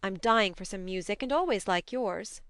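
A woman reading an audiobook as a brisk, affectionate young woman, a bossy tomboyish elder sister with a forthright manner. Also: medium pitch, fairly bright tone, dry recording, clean studio sound, lightly acted. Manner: admonishing, forthright. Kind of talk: audiobook character dialogue.

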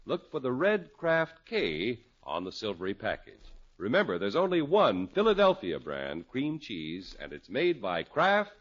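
A man's voice: medium pitch, huge pitch swings, measured pace, breathy edge. A man announcing a 1950s radio show, reading scripted narration and sponsor copy. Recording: muffled old radio broadcast.

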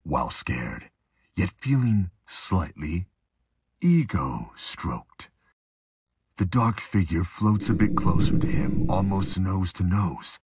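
A man with a husky voice narrating a dark audio drama slowly and ominously, with dramatic pauses. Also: sits low, expressive.

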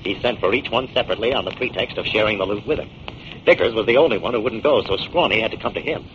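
A man's voice: lowish voice, fast talker, natural voice. An actor playing a confident male detective in 1940s radio drama, explaining things in an assured way. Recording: muffled, noisy 1940s radio recording.

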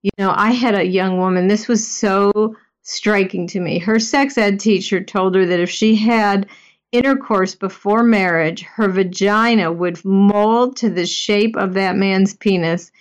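A woman's voice: mid-range voice, steady tone, unhurried, clean tone. Earnest, emphatic female guest on a talk show, slightly muffled audio. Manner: earnest, emphatic, with frequent you-knows. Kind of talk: conversational podcast chat.

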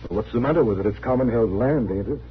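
An actor playing a stubborn, aggrieved travelling tonic peddler in a 1950s radio western, with a folksy, insistent, rustic way of talking. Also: male voice, medium pitch, fast, highs cut off, close to an everyday voice. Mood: puzzled, protesting. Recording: band-limited old radio recording, faint noise.

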